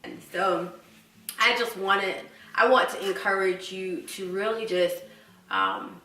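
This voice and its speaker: Woman vlogger with a stutter, unhurried and reflective, roomy sound from a distance. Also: mid-range voice, animated, bright, forceful tone, faint music behind. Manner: earnest, reflective, many fillers and restarts. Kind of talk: casual personal chat to camera.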